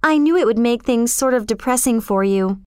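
Woman acting a high-voiced, spoiled, emotional young girl. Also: expressive, normal speed, smooth, dry recording, clean studio sound, lightly acted. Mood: earnest, wistful.

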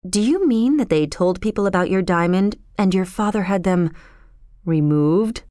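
A woman's voice: medium pitch, lively intonation, moderate pace, mellow, no room sound, clean studio sound, natural voice. A woman storyteller voicing an earnest young man, a shocked suitor who speaks with incredulous indignation. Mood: probing.

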